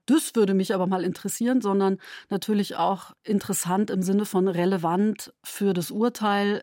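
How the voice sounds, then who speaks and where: A woman's voice: mid-range voice, darkish tone, no room sound. Woman explaining in an interview, dry sound. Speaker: radio drama maker interviewed about the production.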